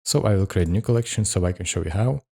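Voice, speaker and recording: A man hosting a step-by-step software tutorial, narrating each instruction. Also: low voice, fast talker, gravelly, husky. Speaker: tutorial host.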